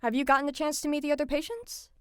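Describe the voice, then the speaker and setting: A woman's voice: sits high, fairly even intonation, racing, fairly bright tone, no echo, studio quality. A woman playing a friendly, slightly awkward patient supervisor in an audio drama, talking in an eager, reassuring way.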